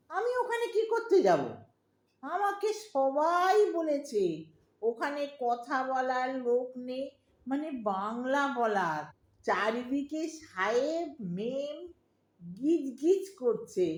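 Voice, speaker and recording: A woman's voice: high-pitched, big pitch swings, slow, full character voice. A woman playing a fretful, old-fashioned middle-aged Bengali mother in an audio drama, plaintive and scolding, with a Bengali accent. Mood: flustered. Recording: roomy, echoing audio drama recording.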